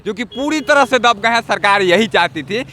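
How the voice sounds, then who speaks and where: A man hosts an on-location news interview. His voice is sharp and bright, sitting slightly high for a man. He speaks as a channel reporter out in the open air of a town. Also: animated, normal speed. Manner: interjects short agreements while listening. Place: outdoors in a town, near a road.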